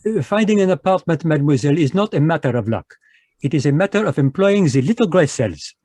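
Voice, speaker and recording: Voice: medium pitch, animated, quick pace, mellow, no room sound, close to an everyday voice. A performer playing a fussy, proud Belgian detective with a French accent, speaking formally with French words mixed in, in old-time radio style over a video call. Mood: fussy, polite. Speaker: voice actor in radio play. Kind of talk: 1940s radio acting.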